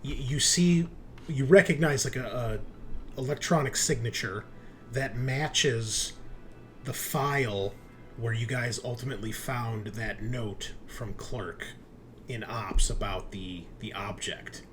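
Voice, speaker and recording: A man running a tabletop roleplaying game, narrating casually in a halting, searching, informal way. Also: mid-range voice, faint music behind. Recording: clearly noisy recording with room ambience.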